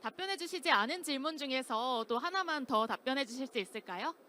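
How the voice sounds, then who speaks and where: A woman's voice: sits high, expressive, brisk, bright, forceful tone, a little hiss or hum. A woman hosting a conference session, with formal, very polite announcer phrasing. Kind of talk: formal, scripted-sounding event hosting. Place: conference hall stage, amplified talk.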